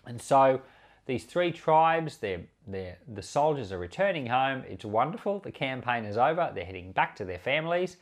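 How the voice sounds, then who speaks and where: Man, pastor, animated intonation, earnest and conversational, scripture reading and commentary, repeats for emphasis. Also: medium pitch, moderate pace. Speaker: pastor and podcast host.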